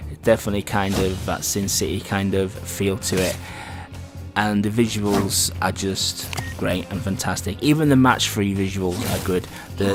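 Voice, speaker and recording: Lowish-voiced man reviewing games. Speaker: game reviewer and host. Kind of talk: casual video game review commentary. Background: music.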